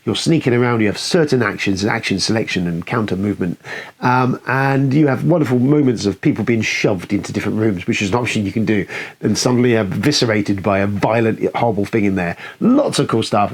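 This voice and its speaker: A man's voice: lowish voice, a little fast, breathy edge. A British man hosting a board game video, commenting in a casual, rambling, sweary and self-deprecating way. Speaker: board game review show host.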